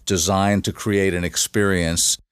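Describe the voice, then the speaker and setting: A man's voice: low voice, flat delivery, clean tone, no room sound, clean studio sound. A man speaking as a podcast guest, explaining at length with frequent restarts and fillers. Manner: halting, many restarts and fillers. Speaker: guest, shamanic facilitator. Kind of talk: casual podcast interview.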